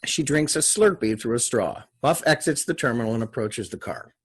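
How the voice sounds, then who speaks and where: A man narrates screenplay directions for a table read. He speaks in short, measured phrases. His voice sits at a medium pitch with a husky edge. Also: lively intonation. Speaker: stage-direction reader.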